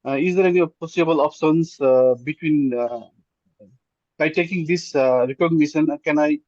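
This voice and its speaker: A man from Nepal, middle-aged, speaks as a webinar attendee over a muffled call. His voice is slightly high and he puts his question haltingly and deferentially. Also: a little mellow, dry recording. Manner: hesitant, deferential. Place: online call.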